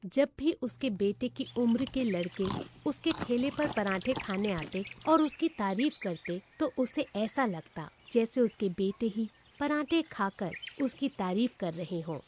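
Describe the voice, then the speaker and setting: A woman narrates a scripted moral tale as storyteller. Her voice is fairly high, with faint noise behind it. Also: expressive, measured pace, darkish tone.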